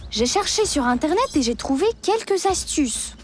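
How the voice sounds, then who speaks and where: Very high-pitched clever child. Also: female voice, lively intonation, normal speed. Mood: decisive. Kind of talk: cartoon dub acting. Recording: music under the voice.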